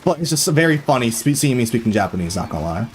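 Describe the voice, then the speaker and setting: Excitable young streamer man, slangy and loose. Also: mid-range voice, fast talker, a little hiss or hum. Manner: excitable, casual, full of fillers and slang; swears freely. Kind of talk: live gaming stream chatter.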